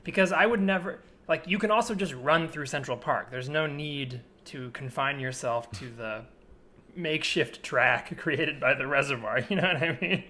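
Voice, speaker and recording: A man's voice: on the high side, moderate pace, sharp tone. An American man co-hosting a comedy podcast, chatting casually and riffing as he reads out short posts. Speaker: podcast co-host and improviser. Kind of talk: casual chat, reading and riffing on classified posts. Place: remote podcast recording.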